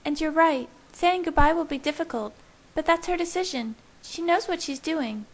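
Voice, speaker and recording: Bubbly, bossy young vampire girl for a storybook, acted by a woman; very high voice, excitable and emphatic. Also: moderate pace. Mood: firm, reassuring. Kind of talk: audiobook character voice.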